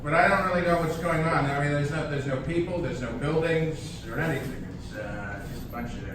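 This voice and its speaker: A man's voice: fairly high pitch, brisk, natural voice. A man playing an awkward, literal-minded store clerk in a staged play reading, hesitant and blunt. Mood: awkward, puzzled. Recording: echoey, noisy hall from far off.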